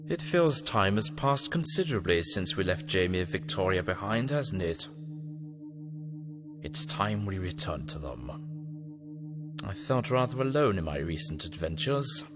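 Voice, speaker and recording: A man playing an eccentric, fretful time traveller who mutters to himself hesitantly and exclaims in an audio drama. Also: low-pitched. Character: eccentric, fretful male time-travelling scientist, talking to his machine. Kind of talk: fan audio drama acting. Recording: music under the voice.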